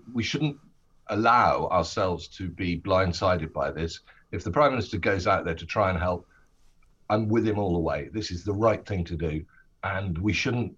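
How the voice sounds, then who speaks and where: A British man speaking as a politician in an interview, making his case in an earnest, measured way with hesitant phrasing. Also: deep voice, a bit bright, husky, dull audio.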